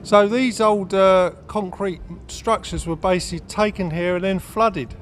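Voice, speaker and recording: A man's voice: high voice, fairly even intonation, slight background noise. A man, chatting casually and interviewing people outdoors at an event. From England. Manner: chatty, matey, asks quick questions. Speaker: vlogger and interviewer. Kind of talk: casual chat and on-the-spot interviews. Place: outdoors at a busy seaside event.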